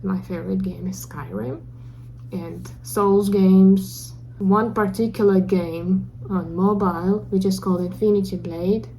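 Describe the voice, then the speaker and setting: A woman's voice: medium pitch, fairly even intonation, unhurried, mellow, a little hiss or hum, low-quality recording. A young woman with a Russian accent, Russian her first language, talking earnestly and a bit awkwardly straight to her camera in a casual video diary. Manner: self-conscious, earnest, a little awkward. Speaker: vlogger. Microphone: camera mic.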